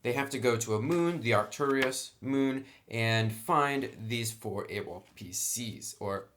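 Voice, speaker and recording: A man's voice: medium pitch, measured pace, fairly bright tone, slight background noise. A man hosting an informal review, explaining things step by step in a conversational, explanatory way. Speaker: game reviewer, host. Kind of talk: informal product review commentary.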